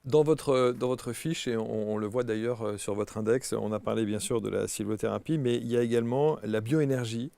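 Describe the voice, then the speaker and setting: Host type: man with a mellow, gravelly voice, friendly and chatty, dry studio sound. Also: mid-range voice, fairly quick, few pauses, no echo. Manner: friendly, chatty with many fillers. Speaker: interviewer. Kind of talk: studio interview.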